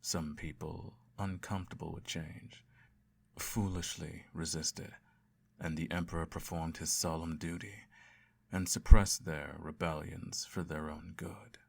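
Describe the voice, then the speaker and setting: A man in a scripted bedtime roleplay, speaking in a calm, measured way with a husky voice and dropping at times into whispers. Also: low voice. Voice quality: gravelly, husky. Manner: calm, measured, softly murmuring, drops into whispers. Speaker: emperor parent in an audio roleplay. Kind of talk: scripted audio roleplay, soft bedtime storytelling.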